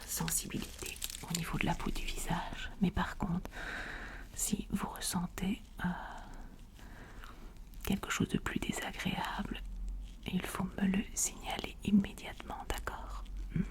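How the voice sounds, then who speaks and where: A woman playing a calm, attentive cosmetic surgeon in a whispered ASMR roleplay, slow, gentle and reassuring with a breathy voice. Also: lowish voice, lightly acted. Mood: focused.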